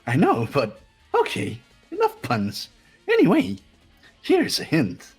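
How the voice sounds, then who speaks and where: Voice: mid-range voice, huge pitch swings, takes it slowly, a little mellow, husky, faint music behind, full character voice. A performer voicing a gleeful, mocking robotic bear mascot villain in a video game fan dub, with a sing-song, taunting manner.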